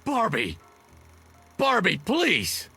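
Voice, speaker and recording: A man's voice: high voice, huge pitch swings, extremely slow, gravelly, bright, forceful tone, husky, heavily acted. An actor playing a worried, caring male friend in an old television drama, hesitant and urgent in manner. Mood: pleading. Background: faint music.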